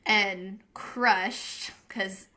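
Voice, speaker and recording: A woman's voice: medium pitch, fairly even intonation, extremely slow, bright, forceful tone. A woman with a Southern American accent, co-hosting a casual book podcast and chatting with enthusiasm. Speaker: podcast co-host. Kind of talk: casual chat.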